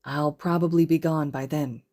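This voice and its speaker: A woman voicing a protective, laid-back teenage boy with a calm, understated manner in a story reading. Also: low-pitched, moderate pace. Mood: calm, gentle.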